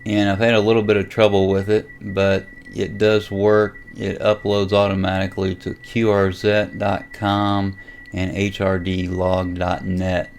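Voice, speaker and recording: An American man giving a casual, unscripted software walkthrough as a hobby vlogger, halting as he thinks aloud. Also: deep voice, monotone, slight background noise. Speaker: amateur radio vlogger demonstrating software. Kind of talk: casual unscripted screen tutorial.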